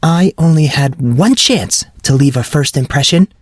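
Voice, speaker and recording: Guy doing a snooty angry teen girl, super dramatic, pitched up, audiobook style, dry sound. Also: expressive, moderate pace, breathy edge, no echo. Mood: cold, furious. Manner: indignant, dramatic. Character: furious, haughty, melodramatic teenage schoolgirl.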